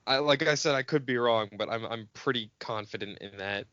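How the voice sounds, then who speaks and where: A man co-hosting a podcast, chatting casually about films in an excitable, expressive, sweary way. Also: mid-range voice, a little fast, clean tone, dry recording, lo-fi sound. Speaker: podcast co-host. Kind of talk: casual film chat among friends.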